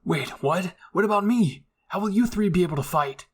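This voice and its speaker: A man's voice: high voice, animated, moderate pace, breathy edge, pitched above the performer's own voice. Man acting a hot-headed teenage boy soldier. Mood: frustrated. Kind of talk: anime fan-fiction roleplay.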